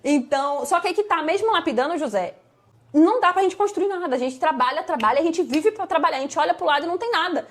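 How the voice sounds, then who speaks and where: Brazilian woman in her thirties, interview guest, high voice, rapid emphatic storytelling, São Paulo-smoothed accent. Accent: Brazilian Portuguese, São Paulo-smoothed. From Bahia, Brazil. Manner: animated, emphatic, repetitive for effect.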